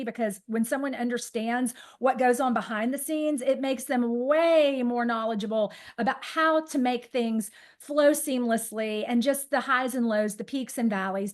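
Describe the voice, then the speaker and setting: A woman with an energetic, effusive manner, hosting a casual live interview. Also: on the high side. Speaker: host interviewing a guest. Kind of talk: enthusiastic casual live chat.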